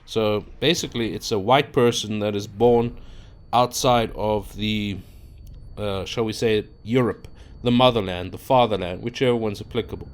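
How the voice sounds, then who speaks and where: A man's voice: fairly low pitch, steady tone, moderate pace. Rambling man, unscripted. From South Africa. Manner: rambling, loose, many fillers and restarts. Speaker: YouTuber. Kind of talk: unscripted casual monologue to camera. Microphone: own microphone at a computer.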